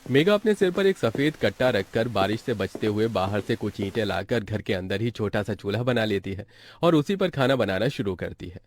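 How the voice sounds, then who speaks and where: A man's voice: fairly low pitch, expressive, normal speed, few pauses, no room sound. A man reading scripted narration as the narrator of a story.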